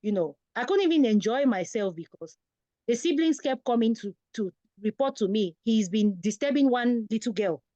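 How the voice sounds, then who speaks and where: A woman's voice: on the high side, lively intonation, moderate pace, mellow, dry recording. A woman, a guest parent on a talk show, telling personal stories in a conversational way.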